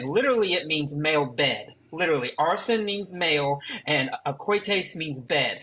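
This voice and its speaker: A man with a somewhat high voice joins a debate as the one who corrects others. He explains didactically and emphatically, repeating key points to drive them home. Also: a bit bright, slight background noise, rough recording.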